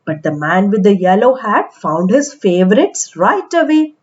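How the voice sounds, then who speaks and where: A woman reading a picture book aloud to young children in an expressive, deliberate storytelling manner. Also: mid-range voice, lo-fi sound.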